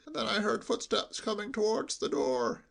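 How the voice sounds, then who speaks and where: A man reading a story aloud and voicing a timid old male butler with a high, bleating, quavering voice and a slow, deferential, hushed way of talking. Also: very animated, creaky, heavily acted. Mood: timid, confiding.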